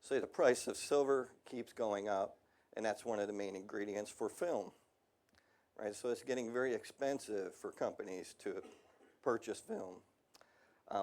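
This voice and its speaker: A man's voice: on the low side, a little mellow. A middle-aged man giving a casual technical seminar talk on industrial radiography, with a slightly worn voice and frequent ums. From United States.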